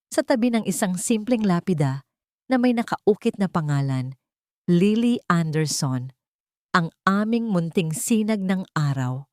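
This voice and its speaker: A woman narrating a scripted audiobook drama in a measured, emotional way. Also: lowish voice, a little mellow, no room sound, studio quality.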